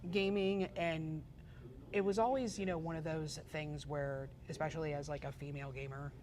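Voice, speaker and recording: A woman's voice: deep voice, steady tone, normal speed, a crowd in the background. A woman in her forties from Pittsburgh, a guest in an interview, telling her story in a chatty way with many fillers and restarts. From Pittsburgh, USA. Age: 40s. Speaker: guest, esports photographer and journalist. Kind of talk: casual interview chat.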